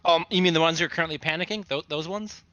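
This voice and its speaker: A man's voice: fairly high pitch, fast, fairly bright tone, no room sound, highs cut off. A man playing a tabletop roleplaying game, speaking casually as he takes his turn. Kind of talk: casual in-character play.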